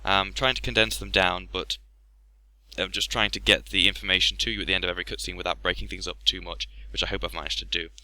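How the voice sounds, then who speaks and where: A man's voice: low-pitched, fairly even intonation, fast, sharp tone, no room sound. A man narrating a video with scripted explanations of terms.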